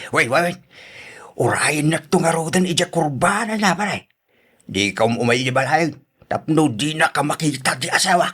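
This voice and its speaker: A man's voice: fairly high pitch, expressive, normal speed, fairly bright tone, breathy edge. A man with an Ilocano accent playing a gambling, hard-drinking husband who wheedles and pleads in a radio drama. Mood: wheedling, tipsy.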